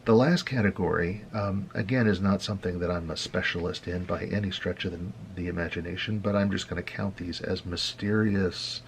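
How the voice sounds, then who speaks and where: A man hosting an informal tutorial, explaining things step by step in an unhurried, halting way. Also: low-pitched, vocal fry, a little hiss or hum. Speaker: host, radio hobby instructor.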